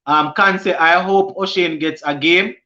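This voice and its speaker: A Jamaican man hosting a casual cricket live stream, reading viewer comments aloud and answering them, often halting and restarting mid-sentence. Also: high voice, steady tone, sharp tone, dull audio. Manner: halting, many restarts and fillers.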